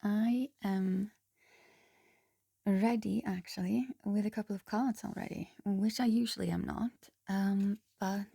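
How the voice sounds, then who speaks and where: A woman with a hoarse voice doing a casual tarot reading, talking slowly and haltingly with frequent pauses and restarts. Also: medium pitch, steady tone, no room sound, studio quality. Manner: slow, halting, soft-spoken with frequent ums and restarts. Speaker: tarot reader.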